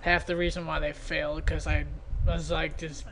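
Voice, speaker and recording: A man's voice: a little high, level tone, normal speed, fairly bright tone. A man giving a casual, off-the-cuff commentary on his own videos in a self-deprecating, grumbling way. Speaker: creator of an abridged parody series.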